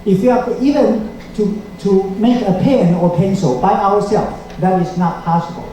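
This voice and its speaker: A middle-aged man from Taiwan, speaking English, gives a seminar lecture in a measured way, with small grammar slips. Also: sits high, dark tone, a little hiss or hum. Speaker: guest lecturer. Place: lecture hall. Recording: echoey hall, mic heard from a distance.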